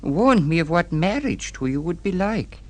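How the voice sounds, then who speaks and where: Radio drama storekeeper husband, middle-aged: mild little man, wry and long-suffering, extremely animated pitch. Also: moderate pace. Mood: sternly exasperated. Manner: dry, put-upon, wry. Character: meek balding middle-aged small-town storekeeper husband, dryly sardonic. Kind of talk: mid-century radio drama acting.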